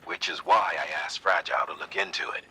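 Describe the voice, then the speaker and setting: Game commander: extremely low, forceful voice, plain-spoken and supportive, briefing a field operative over faint noise. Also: male voice, fairly even intonation, brisk, heavily acted. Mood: thoughtful. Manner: plain-spoken, folksy, instructing. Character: gruff, seasoned male mission commander, briskly supportive. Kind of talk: video game radio briefing.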